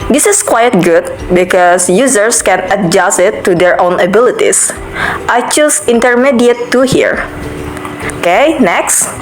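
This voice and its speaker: A woman's voice: medium pitch, low-quality recording. Young woman explaining steps. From Indonesia. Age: young adult. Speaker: student giving an app tutorial. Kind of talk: screen-recorded tutorial voice-over.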